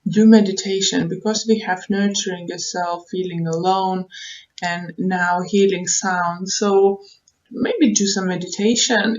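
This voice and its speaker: Slow-paced woman giving a tarot reading. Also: mid-range voice, highs cut off. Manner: halting, repeats phrases, thinks aloud. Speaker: tarot reader, online show host. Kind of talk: unscripted tarot reading, advice to viewers.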